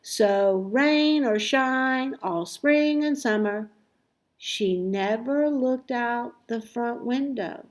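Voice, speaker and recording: Slow, high-voiced woman reading a storybook. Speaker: storybook reader. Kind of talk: expressive children's story narration.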